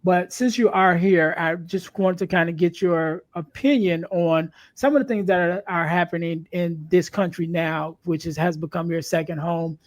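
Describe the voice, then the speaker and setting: Halting woman hosting a casual interview. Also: fairly low pitch, fairly even intonation, a little mellow. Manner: halting, repeats words. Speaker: podcast host.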